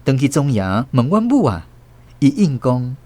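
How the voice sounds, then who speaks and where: A man's voice: mid-range voice, sing-song, moderate pace, mellow. A man with a Taiwanese accent narrating a first-person story dramatically for a radio drama.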